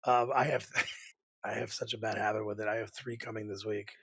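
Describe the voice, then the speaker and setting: A man with a cold who sounds hoarse, co-hosting a casual gaming podcast and stumbling through halting restarts on a desk condenser mic. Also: lowish voice, flat delivery, a bit bright. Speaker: podcast co-host.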